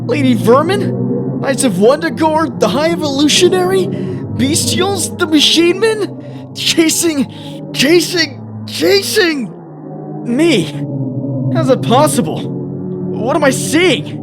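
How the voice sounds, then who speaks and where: Guy doing young smartass superhero for a comic dub, dramatic and wry, super animated, music behind. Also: very high voice, slow pace, long pauses, exaggerated voice. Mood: bewildered. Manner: sardonic, quippy, theatrical inner monologue. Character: young wisecracking superhero, self-pitying yet dauntless. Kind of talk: comic dub character acting.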